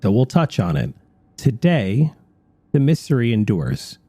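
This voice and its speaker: A man's voice: mid-range voice, wildly swooping pitch, unhurried, mellow, husky, no echo. A man co-hosting a radio show, explaining things in a conversational talk-show style.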